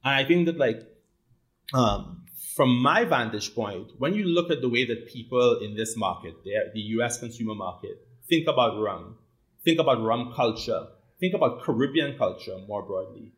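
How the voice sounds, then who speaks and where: A man's voice: mid-range voice, animated, normal speed, poor audio. A man with a Trinidadian accent, a guest in a casual interview, talking animatedly, repeating words as he builds his points and often tagging them with "right?". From Trinidad and Tobago. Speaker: guest, rum company founder.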